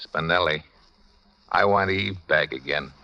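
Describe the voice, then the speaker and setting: Sharp-voiced hard-boiled noir fugitive. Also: male voice, rumbling low, a little slow, creaky, exaggerated voice. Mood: grim. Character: tough, bitter male fugitive in a noir thriller. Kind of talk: 1940s radio noir acting and narration. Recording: dull vintage radio recording.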